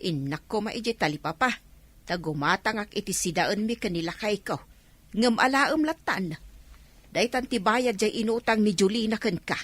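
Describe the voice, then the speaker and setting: A woman's voice: mid-range voice, normal speed, fairly bright tone, husky, dry recording. A woman with an Ilocano accent playing a long-suffering village mother who admonishes her grown daughter in a radio drama. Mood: apologetic, weary. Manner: admonishing, exasperated.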